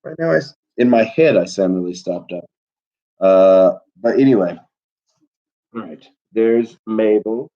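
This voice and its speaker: A man's voice: on the low side, lively intonation, a little slow. A man with a stuffy nose, a teacher chatting casually from home on a live class stream, rambling with plenty of fillers. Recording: dull lo-fi recording.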